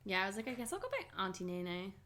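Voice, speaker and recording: Young woman podcast co-host, bright voice, chatty and giggly, faint noise behind. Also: medium pitch, big pitch swings, fast. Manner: chatty, laughs often, lots of "like". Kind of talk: casual chat between sisters.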